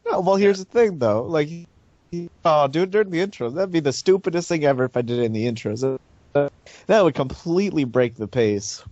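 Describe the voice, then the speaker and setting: A man's voice: fairly high pitch, expressive, moderate pace, a little mellow, no room sound. A man hosting a casual gaming podcast, hesitant and teasing, with lots of fillers. The mic sounds dry and close, with a dull, lo-fi tone. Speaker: podcast host.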